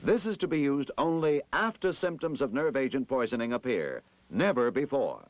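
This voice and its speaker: A man's voice: medium pitch, sing-song, no room sound. Emphatic 1960s training film narrator, man. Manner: formal, emphatic, measured instructional delivery. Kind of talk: 1960s military instructional film narration, scripted.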